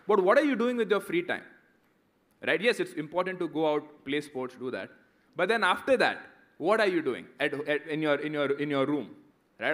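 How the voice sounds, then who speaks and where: A man's voice: sits high, fairly bright tone. A man around thirty, a guest speaker answering student questions in a conversational way, often tagging "right?". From India. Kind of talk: motivational talk and Q&A.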